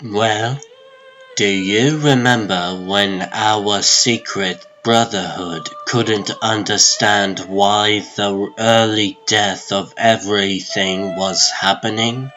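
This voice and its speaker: Man playing a grave, very slow-spoken sorcerer. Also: fairly low pitch, steady tone, sharp tone, soft background music, low-quality recording. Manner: grave, portentous, deliberate. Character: solemn, world-weary adult male sorcerer serving a god. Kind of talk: comic dub dramatic monologue.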